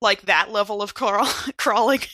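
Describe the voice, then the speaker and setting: A woman's voice: medium pitch, moderate pace, pushed and bright, dry recording. A woman chatting casually as a player in a tabletop game, informally thinking aloud.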